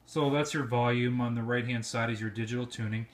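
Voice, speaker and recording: A man's voice: medium pitch, fairly even intonation, brisk, background noise. A man giving an informal, slightly rambling hands-on product review, recorded indoors on a handheld phone. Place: indoor room at home. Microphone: handheld cell phone.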